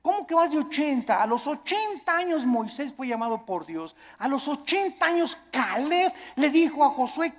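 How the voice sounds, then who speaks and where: A man's voice: very high-pitched, big pitch swings, moderate pace, few pauses. A male preacher with a Mexican accent delivers a sermon in a large hall with long reverb trailing his words. He is emphatic, driving points home through repetition and rhetorical questions.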